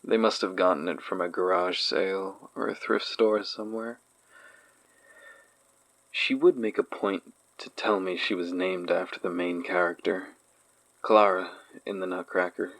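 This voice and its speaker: A man's voice: deep voice, little pitch movement, a little slow, a bit bright, dry recording, full character voice, rough recording. An actor playing an uneasy man haunted by childhood memories, giving a hesitant, reflective testimony in a horror audio drama. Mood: wistful recollection. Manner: hesitant, reflective, sighing delivery.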